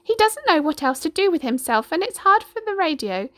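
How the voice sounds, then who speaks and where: Woman playing a giant hen, chatty, bossy, high clucking cackle, dry close sound. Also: sing-song, brisk, a bit bright, no room sound, exaggerated voice. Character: enormous cackling female chicken, chatty and bossy.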